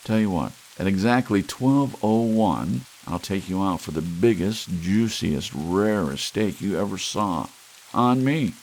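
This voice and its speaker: A man's voice: low voice, lively intonation, measured pace, a little mellow, a little hiss or hum, low-quality recording. A man voicing a jittery man who brushes off worry with blustering, dismissive talk.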